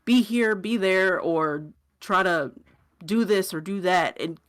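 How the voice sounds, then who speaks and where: Casual podcast co-host with halting phrasing. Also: medium pitch, a bit bright. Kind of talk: casual gaming podcast discussion.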